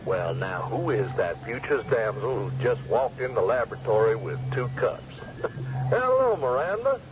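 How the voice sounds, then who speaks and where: An actor playing a jovial, sly ranch boss in a radio drama, with a folksy, mock-courtly way of talking. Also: male voice, medium pitch, big pitch swings, normal speed, close to an everyday voice. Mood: sly, teasing. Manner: drawling, chuckling, mock-courtly. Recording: dull old radio broadcast, music behind.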